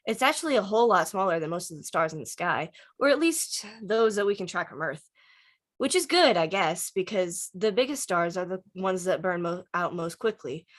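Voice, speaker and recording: A woman's voice: on the low side, expressive, moderate pace. Woman doing a wry teen dreamer at a script table read, dry teasing banter, kinda philosophical. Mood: musing, wry. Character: Dante, a dry, wry, star-loving teenager.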